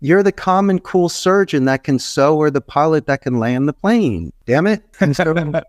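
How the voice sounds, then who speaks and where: A man's voice: on the high side, expressive, moderate pace, no echo, clean studio sound. A middle-aged man from Philadelphia, a guest in an interview, giving rambling motivational business advice with emphatic, repeated phrases. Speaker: podcast guest, call center entrepreneur.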